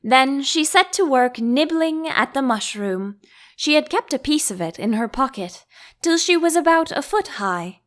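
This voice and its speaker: High-voiced, slow-paced woman narrator. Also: expressive. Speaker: audiobook narrator. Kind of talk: scripted storybook narration.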